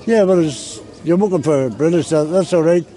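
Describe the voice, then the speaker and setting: A man's voice: on the high side, normal speed, warm tone. A man with a Northern Irish accent, seated on a city street and talking plainly in an interview, often repeating himself. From Belfast, Northern Ireland. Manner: plain-spoken, repeats words. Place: busy city street outdoors.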